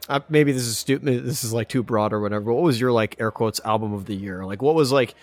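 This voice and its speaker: A man with a medium-pitched voice talks informally as a podcast co-host. His phrasing is halting and roundabout as he works his way toward each point. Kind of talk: casual chat, asking questions.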